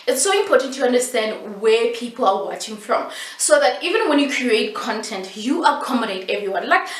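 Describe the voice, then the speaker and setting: A South African woman, a native Setswana speaker, giving casual vlog tips in an animated, emphatic, playful way with repetition for stress. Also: fairly high pitch. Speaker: YouTube host giving tips. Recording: echoey room, distant mic.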